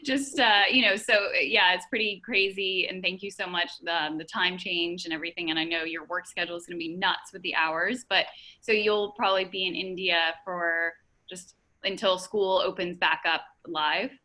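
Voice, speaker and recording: A woman's voice: fairly low pitch, steady tone, moderate pace, pushed and bright. A young woman hosting an interview over a video call, chatty and upbeat, with lots of fillers. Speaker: interview host.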